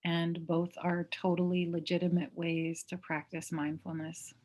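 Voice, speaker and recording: A woman leads a guided meditation at a very slow pace, leaving long pauses between phrases. Her voice sits on the low side, and her delivery is gentle, like a mindfulness teacher giving instructions. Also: completely flat delivery.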